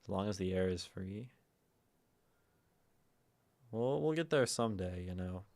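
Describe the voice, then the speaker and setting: A man with a naturally low voice, hosting a livestream and musing softly and aimlessly with many fillers. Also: expressive, unhurried. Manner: soft, meandering, lots of fillers; prefers talking quietly and a little higher. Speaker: livestream host. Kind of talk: casual livestream chat.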